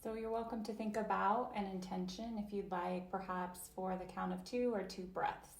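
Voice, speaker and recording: A woman voicing an interpreted guided chair yoga session, speaking slowly and gently, with long pauses and soft invitations. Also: mid-range voice, steady tone, reverberant.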